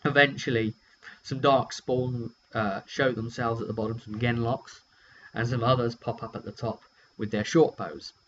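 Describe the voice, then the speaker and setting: Low-voiced British man, dull hummy recording. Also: normal speed. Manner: rambling, hesitant, chatty storytelling. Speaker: game master and vidcast host. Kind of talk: casual session recap, unscripted. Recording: dull lo-fi recording with steady hum.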